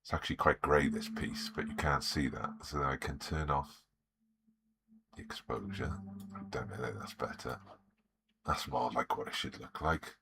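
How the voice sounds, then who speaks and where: A man with a croaky sore throat, chatting easily with live viewers and greeting them by name while he works on a drawing. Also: extremely low pitch, normal speed, long pauses, background noise. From Wales. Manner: easygoing, greets viewers by name, self-deprecating. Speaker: artist streaming live. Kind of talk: relaxed live-stream chat while drawing.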